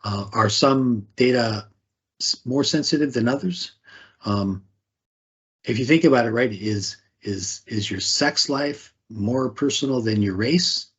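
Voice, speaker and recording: Guy giving a privacy talk, slightly husky voice, keeps asking questions, dull lo-fi sound. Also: medium pitch, unhurried, fairly bright tone. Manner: asks rhetorical questions, tags "right?". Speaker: speaker leading a privacy talk. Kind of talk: conversational presentation.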